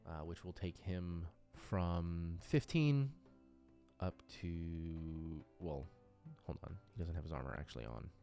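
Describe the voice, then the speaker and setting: Low-voiced man talking slowly over music. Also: gravelly, warm tone. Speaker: creator and game master of a tabletop RPG audio drama. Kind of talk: casual unscripted commentary while working through character sheets.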